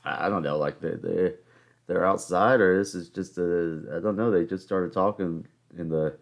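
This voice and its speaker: Southern-raised twenties male host, New York-tinged accent, low pitch, loose self-mocking storyteller. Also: fairly even intonation, moderate pace, gravelly. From Charleston, South Carolina. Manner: rambling, joking, self-mocking. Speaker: podcast host. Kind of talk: casual solo podcast storytelling.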